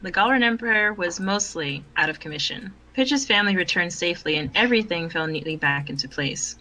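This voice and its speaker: A woman reading fan fiction aloud as the narrator in an expressive storytelling style, recorded on an informal online voice chat. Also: lowish voice, fairly quick, low-quality recording.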